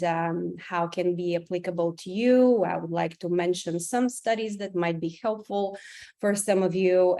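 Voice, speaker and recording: A woman's voice: on the low side, darkish tone. A woman with a Bulgarian accent, a clinical psychologist, giving a careful webinar presentation over a video call, with many "um" fillers.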